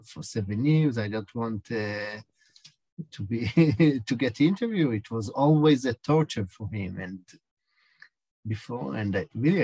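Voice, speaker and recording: A middle-aged man with an Israeli accent, a film director answering questions over a video call, halting and searching for words. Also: mid-range voice, darkish tone, no echo. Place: small room indoors.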